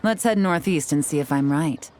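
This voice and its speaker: A woman voicing a cool, confident female spy in a game, smooth and teasing as she lays out her plans. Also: sits low, normal speed, slight background noise, lightly acted. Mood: wry. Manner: smooth, teasing, self-assured. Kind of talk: video game voice acting. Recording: game audio with soft music behind.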